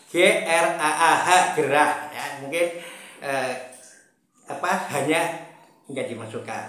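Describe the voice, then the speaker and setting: A man from Central Java talks through a political commentary slowly and unhurriedly, stopping often to search for words and repeating his points. His voice sits a little high for a man. It rings around a strongly echoing room. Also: a bit bright. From Brebes, Central Java. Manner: slow, hesitant, many fillers and repetitions, chuckles often. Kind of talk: casual political monologue.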